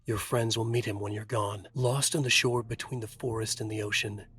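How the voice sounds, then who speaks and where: A man's voice: fairly low pitch, steady tone, normal speed, fairly bright tone, slightly rough, no echo. Brooding, haunted male writer. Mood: solemn, incantatory. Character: haunted, trapped male writer narrating his nightmare. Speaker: video game protagonist. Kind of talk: moody scripted noir narration.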